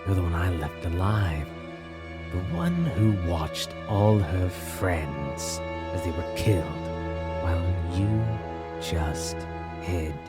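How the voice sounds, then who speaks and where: A man performs a sadistic villain for an audio drama. The voice is deep and unhurried, full of taunting menace, with heavy music playing underneath. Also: expressive. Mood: cold, mocking.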